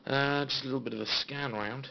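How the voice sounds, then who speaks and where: A British man giving a casual, halting, understated tour of his hobby equipment, as an enthusiast showing viewers around. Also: medium pitch, steady tone, creaky. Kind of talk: casual informal show-and-tell. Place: small radio room at home. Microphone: handheld phone. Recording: dull phone recording with faint noise.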